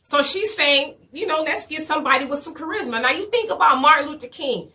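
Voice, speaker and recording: Hoarse woman host, high and bright, slightly off-mic, emphatic commentary with rhetorical questions. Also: lively intonation, fairly quick, far from the mic. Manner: emphatic, rhetorical questions, reads quotes aloud. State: hoarse, voice coming back. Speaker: show host. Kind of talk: commentary monologue to viewers.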